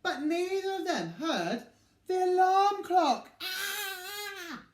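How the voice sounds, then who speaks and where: A man reading a picture book to children as an expressive storyteller, with theatrical emphasis and dramatic pauses. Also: very high-pitched. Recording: echoey room.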